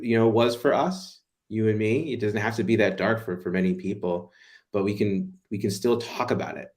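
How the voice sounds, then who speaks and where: A man's voice: low-pitched, darkish tone. A man speaking as a podcast guest, earnest and reflective, often repeating words as he gathers his thoughts. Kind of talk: interview conversation.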